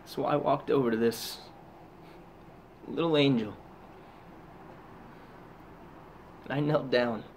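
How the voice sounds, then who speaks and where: A man performing a dramatic monologue as a haunted, guilt-ridden soldier back from war, halting and intense, with heavy pauses. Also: mid-range voice, a little hiss or hum, lightly acted. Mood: grim, sorrowful.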